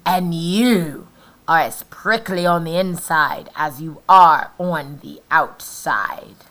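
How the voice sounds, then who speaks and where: A woman's voice: low-pitched, slow, a bit bright, heavily acted. A woman voicing an unimpressed coyote in a children's storybook, answering back with pointed retorts. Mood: affronted.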